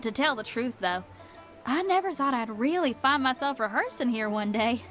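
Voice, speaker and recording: A woman's voice: a little high, expressive, normal speed, over music, close to an everyday voice. A woman playing an easygoing, confident young rock musician in a video game, talking in a casual, folksy way. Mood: upbeat.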